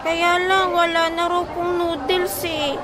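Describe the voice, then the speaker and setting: A woman's voice: very high-pitched, level tone, takes it slowly, background noise. A woman playing a timid, obedient eight-year-old daughter in a Filipino audio drama, hesitant and deferential. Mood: hesitant, meek.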